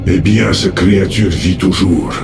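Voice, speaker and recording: An actor playing a grave, brooding mutated alien ally in a video game dub, speaking solemnly. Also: male voice, low voice, vocal fry. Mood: grave, warning. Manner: solemn, deliberate warnings. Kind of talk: dubbed video game cinematic acting. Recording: echoing room, music behind.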